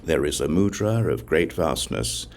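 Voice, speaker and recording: A man reading a religious text as an audiobook narrator, in a solemn, measured recitation. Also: a bass voice, expressive, slight background noise. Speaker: audiobook reader.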